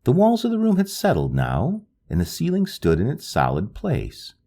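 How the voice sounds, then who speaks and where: A man reading a literary story aloud in a slow, solemn, dreamlike way. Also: wildly swooping pitch, mellow. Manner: slow, solemn, dreamlike delivery with wide swings in pitch. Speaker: audiobook narrator.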